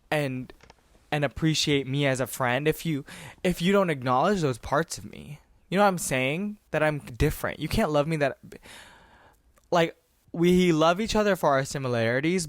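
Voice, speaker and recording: A man's voice: on the high side, no echo, clean studio sound. A man in his twenties, a podcast host raised in Texas and California, rambling passionately in a casual monologue with some swearing.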